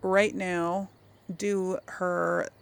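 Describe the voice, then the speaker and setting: A woman's voice: lowish voice, little pitch movement, extremely slow, vocal fry, slight background noise. A woman giving casual, chatty video game commentary, thinking aloud as she plays.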